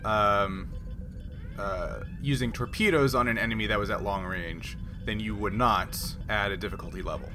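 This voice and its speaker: A man's voice: on the low side, moderate pace, music in the background. A man running a tabletop game as game master, casually explaining rules in a hesitant, step-by-step way. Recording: noisy background ambience.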